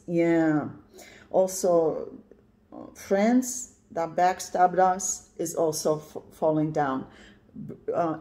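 A woman's voice: fairly low pitch, darkish tone. A woman in her fifties from Poland giving an intuitive tarot reading, emphatic and repeating "yeah" and "right?" as she talks. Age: 50s. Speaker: tarot reader. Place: indoors at a card table, camera on the cards.

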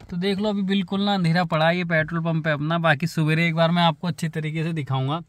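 Chatty high-voiced man vlogging on the road. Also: no room sound. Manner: chatty, keeps saying "bhai" and "hai na". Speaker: travel vlogger. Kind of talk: casual road trip vlog narration. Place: inside a moving car on the road. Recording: noisy car interior.

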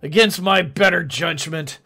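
A man voicing a gruff, burly male mining boss with a husky, gravelly voice. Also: fairly high pitch, measured pace, pushed and bright, faint music behind.

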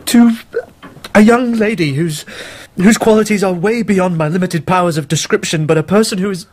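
An actor playing an earnest young gentleman in love in a radio drama, polite, formal and hesitant. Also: high voice, normal speed. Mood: courteous, hesitant.

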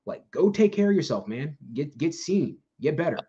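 A man's voice: on the high side, big pitch swings, normal speed, warm tone, slight background noise. Young man, profane and rambling, lo-fi. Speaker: podcast host, infantry soldier. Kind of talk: candid podcast chat. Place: room at home, gaming chair. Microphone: video call.

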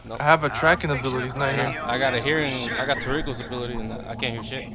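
Excitable young man gaming with friends. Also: medium pitch, quick pace, noisy background. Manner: loose, swearing, excitable banter. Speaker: gaming streamer. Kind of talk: casual gameplay chat with friends.

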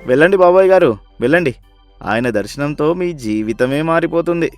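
A man's voice: on the high side, animated, normal speed, darkish tone, no echo, faint music behind, lightly acted. Man playing a sly, friendly young villager. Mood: feigned helpfulness. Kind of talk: dramatised moral story for cartoon.

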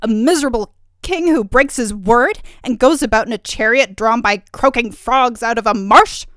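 A woman voicing a haughty, quick-tempered princess in a fairy tale, indignant and scornful. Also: on the high side, clean tone, dry recording, clean studio sound, natural voice. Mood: outraged.

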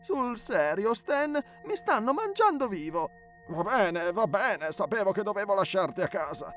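Young male videographer for an audio story, put on by a man: high, theatrical, exasperated, muffled lo-fi recording. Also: huge pitch swings, measured pace, a bit bright, soft background music, exaggerated voice. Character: young amateur videographers bantering, mock-announcing and whining. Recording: dull lo-fi phone-video sound.

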